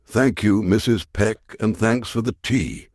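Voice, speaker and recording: Wry, low-voiced older newspaperman, acted by a man. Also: unhurried, a little mellow, dry recording, clean studio sound. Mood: polite, reserved. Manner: wry, muttering to himself. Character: world-weary retired war correspondent starting over alone. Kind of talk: audio drama acting, musing aloud.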